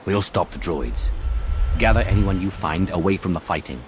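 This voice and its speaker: An actor playing a composed, commanding dark lord in a video game, measured, formal and superior. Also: male voice, sits low, animated, slight background noise, rough recording. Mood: composed, firm. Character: haughty, composed male Sith lord, cool and commanding. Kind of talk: scripted video game drama acting.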